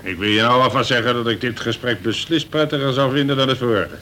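A man playing an older prison governor, a colonel who speaks in a measured, formal and wry way, in a Dutch radio play. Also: lightly acted. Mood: wry authority. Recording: noisy old radio recording with rumble.

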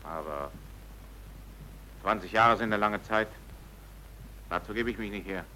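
A tired bitter writer in a radio play, real low voice with an edge, short resigned lines. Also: male voice, measured pace, sharp tone, slight background noise, rough recording. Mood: stubborn, refusing. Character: weary, reluctant male writer, bitterly principled. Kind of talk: radio drama acting.

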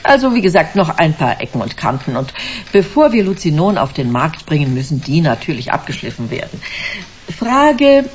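A woman's voice: deep voice, sing-song, normal speed. An actress playing a smug, commanding company director in a German sci-fi radio drama, suave and theatrical, savouring her words. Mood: smooth, lecturing. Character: smug, commanding female corporate director selling a drug. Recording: dull, hissy radio play recording.

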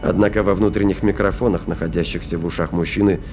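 Man, narrator, low pitch, scripted sci-fi audio drama narration, clear music bed. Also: steady tone, fast talker.

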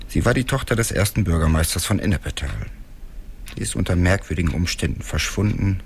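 An actor playing an older local man in a radio drama, telling town lore in a deliberate, knowing way. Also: low-pitched, slight background noise. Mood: knowing, grave.

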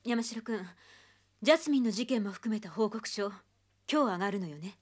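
A woman as a calm, reserved mentor. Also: medium pitch, moderate pace, lightly acted. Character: composed older woman, a respected teacher. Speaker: boss. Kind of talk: radio drama acting.

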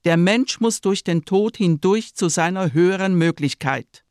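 A woman's voice: on the low side, measured pace, darkish tone, clean tone, no echo, clean studio sound, lightly acted. A woman playing a pious, cheerfully convinced, self-righteous middle-aged nursing sister in a radio drama. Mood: cheerful preaching. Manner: beaming, serenely self-righteous.

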